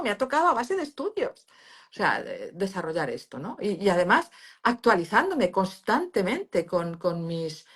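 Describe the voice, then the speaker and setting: A woman's voice: medium pitch, husky. A middle-aged woman with a Spanish accent from Spain, whose first language is Valencian, explaining pain therapy as an interview guest in an emphatic, teacherly way. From Valencia region, Spain. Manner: emphatic, expressive, teacherly, with many fillers and restarts.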